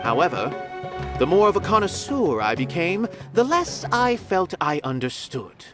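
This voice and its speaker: A lofty, lively-voiced art connoisseur. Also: male voice, on the high side. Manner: measured, formal and lofty. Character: worldly male art connoisseur, grave and refined. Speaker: former stage performer turned art critic. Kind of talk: video game voice acting. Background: game music.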